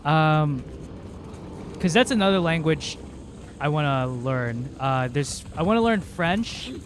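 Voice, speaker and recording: A man's voice: on the high side, expressive, takes it slowly, background noise. A male game streamer, a native Cebuano speaker, chatting casually and rambling with lots of fillers. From Philippines. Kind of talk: casual livestream chat.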